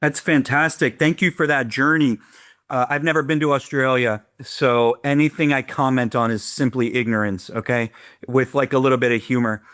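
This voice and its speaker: A man's voice: medium pitch, fairly even intonation, moderate pace, fairly bright tone. A middle-aged man with an American accent, hosting an informal interview in an effusive, conversational manner. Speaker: podcast host.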